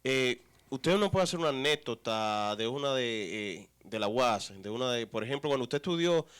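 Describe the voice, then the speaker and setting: A man hosting a radio talk show, lively, chatty and teasing with his guest. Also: mid-range voice, normal speed, clear, resonant tone. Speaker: host.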